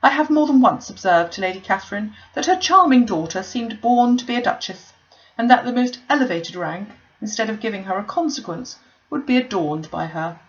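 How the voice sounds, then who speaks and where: A woman reading aloud, voicing a pompous, long-winded young clergyman who fawns and flatters. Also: medium pitch, fairly quick, lo-fi sound, close to an everyday voice.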